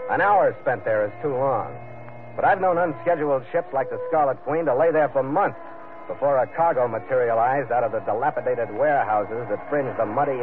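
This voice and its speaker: A man's voice: medium pitch, phone-quality sound, lightly acted. An actor playing a tough American sea captain narrating his log in clipped, hardboiled 1940s radio adventure style. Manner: clipped, matter-of-fact, commanding. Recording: thin vintage radio broadcast with music.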